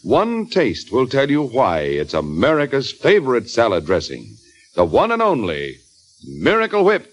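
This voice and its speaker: Animated male radio commercial announcer. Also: medium pitch, a little slow. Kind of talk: 1940s radio advertising read.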